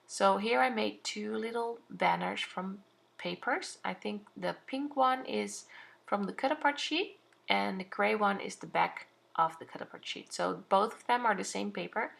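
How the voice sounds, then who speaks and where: Young Dutch-accented woman, hesitant crafting voice-over, mid-pitched with a touch of vocal fry. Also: a little slow, a bit bright. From Netherlands. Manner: hesitant, many fillers and restarts. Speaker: scrapbooking channel host.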